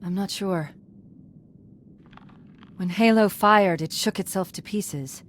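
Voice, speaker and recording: A woman's voice: medium pitch, takes it slowly, close to an everyday voice. An actress playing a caring, devoted female artificial intelligence companion in a video game, tender and intimate in manner. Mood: earnest, reflective. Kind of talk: dramatic video game cutscene acting. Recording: faint ambient noise.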